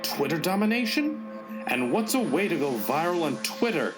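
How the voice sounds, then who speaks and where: A man's voice: fairly high pitch, sing-song, normal speed. A man playing an earnest hero who narrates a comic radio play with mock-serious suspense. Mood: scheming suspense. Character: earnest male hero narrating a final showdown. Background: music.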